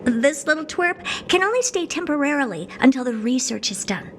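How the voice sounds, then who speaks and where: A woman's voice: sits high, lively intonation, a little fast, a little hiss or hum. A woman playing a haughty, doll-like genius scientist in a video game, condescending and blunt. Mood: flippant. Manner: condescending, smug, blunt, bored drawl turning to keen curiosity. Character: haughty, doll-like female genius scientist who owns a space station. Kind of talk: video game cutscene acting. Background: music.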